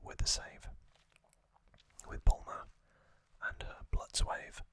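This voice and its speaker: A man whispering gently and breathily as an ASMR host, recapping a story in unhurried, halting phrases close to the microphone.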